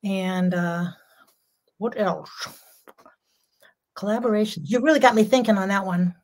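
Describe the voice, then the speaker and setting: A woman's voice: mid-range voice, a little slow, darkish tone. An older woman, a jazz singer, chatting as a guest on a video call from her home, talking freely and laughing often. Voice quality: strong voice. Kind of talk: casual video-call interview. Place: home room.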